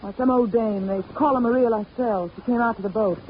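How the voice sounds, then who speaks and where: An actress plays a cynical young wife who answers everything with a sarcastic edge. The sound is a muffled 1940s radio drama, lightly hissy. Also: female voice, fairly high pitch, quick pace, lightly acted. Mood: sardonic. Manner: sarcastic, tough. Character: sharp-tongued young wife on a yacht, cynical. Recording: dull old radio recording with faint hiss.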